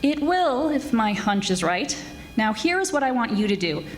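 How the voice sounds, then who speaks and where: Wisecracking female sleuth played by a woman, snappy and bossy, 1940s radio drama, higher register. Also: big pitch swings, moderate pace, slight background noise. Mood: urgent, businesslike. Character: wisecracking, brisk female private detective. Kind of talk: 1940s radio detective acting and narration.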